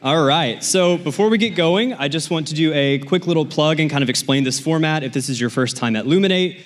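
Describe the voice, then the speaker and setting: A man hosting a live podcast on a conference stage, playful and upbeat as he talks to the audience. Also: medium pitch. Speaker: podcast host.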